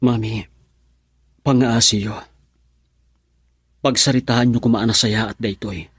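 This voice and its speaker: An actor playing a defiant, earnest young son and sweetheart in a Filipino radio drama, with a husky voice and Filipino accent. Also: male voice, lowish voice, fairly even intonation, takes it slowly, fairly bright tone, no echo, studio quality, heavily acted. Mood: pleading, resolute. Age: young adult.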